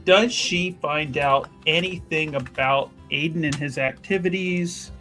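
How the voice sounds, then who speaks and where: A man playing a tabletop roleplaying game, casually improvising in a wordy, hesitant way with careful pauses. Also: a little high, pushed and bright, soft background music. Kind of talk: casual improvised in-character recap and questions.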